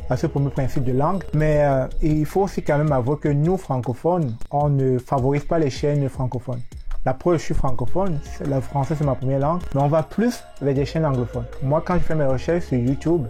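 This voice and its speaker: A man's voice: a little high, brisk, mellow, soft background music. A man from Cameroon, a guest youtuber, explaining his views earnestly in a casual discussion. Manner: earnest, explanatory, many fillers.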